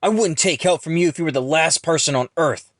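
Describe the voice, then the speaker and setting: A male actor plays a resentful martial arts rival in an audio drama. His voice sits a little high and has very little room sound. He is aggressive and sneering, a hot-tempered man spoiling for a confrontation. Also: a little fast, no echo, studio quality, lightly acted. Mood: bitter, aggravated. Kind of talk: dramatized audio story dialogue.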